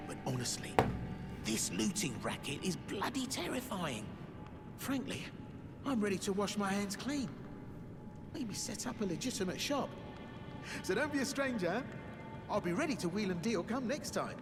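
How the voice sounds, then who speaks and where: An actor playing a chummy, shifty small-time merchant in a fantasy game, chatty and ingratiating. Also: male voice, sits high, very animated, normal speed, long pauses. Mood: chummy, nervy. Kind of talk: scripted fantasy game acting. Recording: music behind, long reverberant echo.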